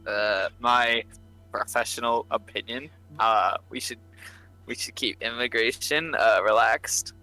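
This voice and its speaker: A man's voice: mid-range voice, measured pace, sharp tone, soft background music, low-quality recording. A man chatting casually as a player in a group game, hesitant and noncommittal. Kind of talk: casual group chat while gaming.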